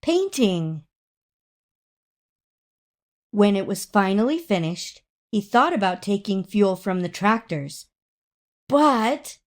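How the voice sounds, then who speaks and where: A woman reading a children's story aloud in an expressive, dramatic way, drawing out words for emphasis. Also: medium pitch. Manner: dramatic, with drawn-out emphasis and acted sound words. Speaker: storybook reader.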